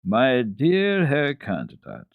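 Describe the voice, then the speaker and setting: A man's voice: mid-range voice, wildly swooping pitch, takes it slowly, vocal fry, dry recording, phone-quality sound, voice pushed up, full character voice. A man voicing a gracious, polite older society lady in a storybook, with courteous manners. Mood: cordial.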